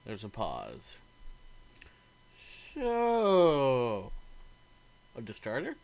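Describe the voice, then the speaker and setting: A man's voice: medium pitch, huge pitch swings, drawn-out words, gravelly, a little mellow. A man reading a visual novel aloud in a let's play, narrating the story and acting out the dialogue expressively.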